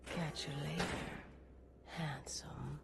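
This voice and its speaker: An actress playing a cool, taunting female assassin in a video game, breathy and teasing. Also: sits low, steady tone, slow pace, heavily acted. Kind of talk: video game cutscene acting. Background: music.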